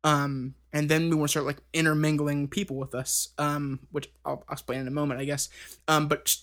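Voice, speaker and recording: A man's voice: fairly high pitch, level tone, brisk, fairly bright tone. A thirty-year-old man chatting casually on a band podcast, rambling with many fillers and restarts. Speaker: band member co-hosting a podcast.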